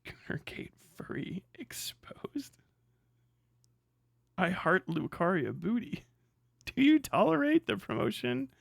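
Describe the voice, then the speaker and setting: A man's voice: sits high, sing-song, slow, creaky, pauses often, no echo. A young man hosting a casual live stream, reacting with sardonic, profane, incredulous commentary and frequent laughter. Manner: sardonic, swearing, laughing often, incredulous outbursts. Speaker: streamer host.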